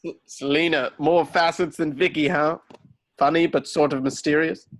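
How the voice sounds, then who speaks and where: A man playing a dry, loyal elderly butler in a script table read, with a formal, measured, wry manner. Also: on the high side, steady tone, natural voice. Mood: dry concern.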